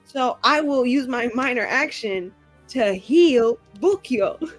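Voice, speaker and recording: A woman's voice: sits high, expressive, slow pace, faint music behind. A woman playing a tabletop game, playful, and hesitant while she checks the rules. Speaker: player. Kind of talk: casual tabletop play.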